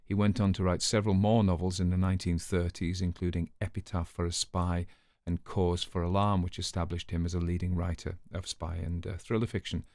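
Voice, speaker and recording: Male host, lowish voice, informal book commentary, chatty, offhand asides, very dry sound. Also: flat delivery, fairly quick, darkish tone, no room sound. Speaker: host and story reader.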